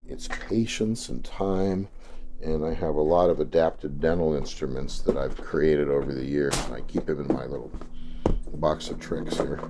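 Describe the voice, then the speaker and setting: A man's voice: a bass voice, dark tone, a little hiss or hum. A man, a dentist and collector, talking unhurriedly at home about his old machines, with hesitant fillers. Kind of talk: casual explaining.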